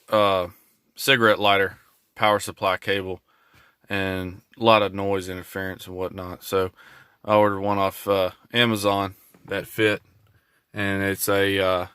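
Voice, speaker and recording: A man's voice: lowish voice, pushed and bright, clean tone, no echo, clean studio sound. A man giving a casual, hands-on video walkthrough in a laid-back, folksy way. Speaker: hobbyist vlogger showing a truck install.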